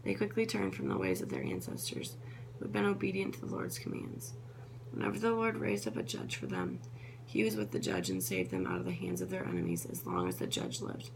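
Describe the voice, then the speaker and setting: Woman, scripture reader, heavy vocal fry, trips on names and restarts, noisy hum behind. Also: lowish voice, big pitch swings, a little fast. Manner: stumbles over names and corrects herself. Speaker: Bible reader. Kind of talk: reading scripture aloud.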